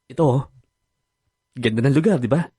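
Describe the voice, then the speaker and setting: A man's voice: medium pitch, normal speed, dark tone, slightly rough, close to an everyday voice. A man with a Filipino accent playing a hurt, pleading boyfriend in an online radio drama, coaxing and insistent. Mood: gentle, eager. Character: hurt, pleading boyfriend planning an anniversary getaway. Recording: music bed under the voice.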